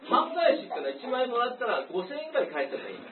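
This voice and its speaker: Fast-talking man from Japan teaching kids. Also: very high-pitched, huge pitch swings, very noisy. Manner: quick, chatty, asking the class questions. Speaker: guest speaker teaching words to children. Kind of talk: casual classroom talk. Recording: echoey room, far-off lo-fi phone-like sound with chatter.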